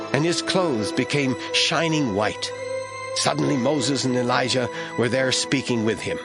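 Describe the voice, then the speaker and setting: A man reading scripted Bible narration as an expressive storyteller for an audio drama. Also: medium pitch.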